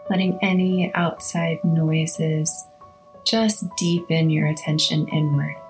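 A woman hosting a guided meditation, speaking in a soothing, measured way with long pauses between short phrases. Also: sits low, steady tone. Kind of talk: slow guided meditation narration. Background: instrumental music.

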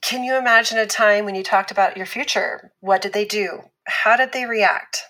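An actress playing a calm, patient abuse survivor who explains things in a measured, instructive way in a scripted fiction podcast. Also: female voice, medium pitch, close to an everyday voice, rough recording.

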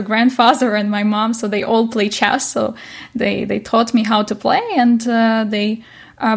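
A woman's voice: mid-range voice, vocal fry. A young woman from Ukraine with a Ukrainian accent, speaking non-native English hesitantly as an interview guest. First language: not English. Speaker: interview guest, chess coach. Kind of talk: casual interview chat.

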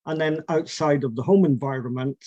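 A middle-aged man recalls his family's past in an oral history interview. He speaks in a measured, reflective way, with fillers and restarts. The recording is dry and a little dull and lo-fi. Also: a little high, dark tone, no echo. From Scotland.

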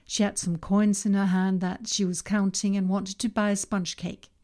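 Calm Danish woman narrating self-help. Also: mid-range voice. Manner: calm, measured reading with slow emphasis on key words. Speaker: podcast host and author.

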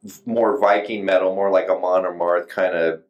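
A man's voice: sits low, steady tone, moderate pace, dull audio. A man reviewing music in casual podcast chat, blunt and slangy, with some swearing. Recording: roomy, echoing room sound.

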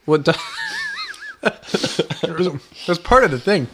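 A man's voice: a little high, very animated, takes it slowly, bright, forceful tone. A young man co-hosting a casual podcast, playful and teasing, often laughing. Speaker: podcast co-host. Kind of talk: casual chat.

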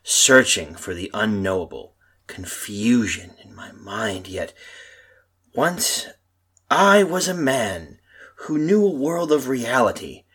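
A man playing a tormented husband in an old-style radio horror drama, theatrical and husky. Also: mid-range voice, very animated, a bit bright, full character voice. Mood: anguished, brooding. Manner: theatrical, brooding, halting monologue. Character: tormented lost husband, haunted, telling his doom.

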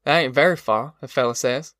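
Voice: medium pitch, a little fast, a bit bright, no room sound, clean studio sound, lightly acted. A narrator voicing a mild country man with folksy rustic dialect in an audiobook. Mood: tentative. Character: Uncle John, mild country man passing on hearsay. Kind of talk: audiobook character voice.

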